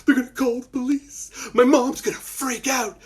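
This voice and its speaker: Man playing a high-pitched, bold little boy. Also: wildly swooping pitch, unhurried, heavily acted. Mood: urgent. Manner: loud, pleading, exaggerated. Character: bold young boy standing up to a dragon.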